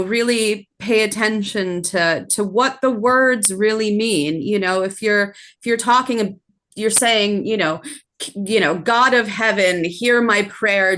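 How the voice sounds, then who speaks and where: A woman, a native speaker of French, speaks as a music competition judge. Her pace is slow and her pitch sits in the middle. She gives measured, constructive critique over a video call. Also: fairly bright tone. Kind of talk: spoken critique to a contestant.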